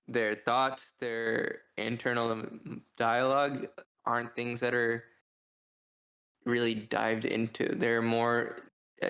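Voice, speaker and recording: A man in a casual co-hosted discussion, speaking in an even, fairly flat tone. He thinks aloud, hesitating and restarting as he works through his points like a reviewer among friends. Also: medium pitch, low-quality recording. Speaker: podcast co-host.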